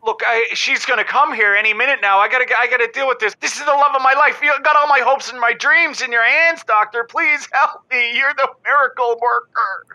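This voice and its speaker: A man's voice: very high voice, brisk, few pauses, pushed and bright, heavily acted, poor audio. A man playing a clueless, panicky suitor calling a comedy radio advice show, stammering and whining. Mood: frantic, pleading. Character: panicky, clueless young suitor with sick horse. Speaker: caller. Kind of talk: improvised comedy radio call-in.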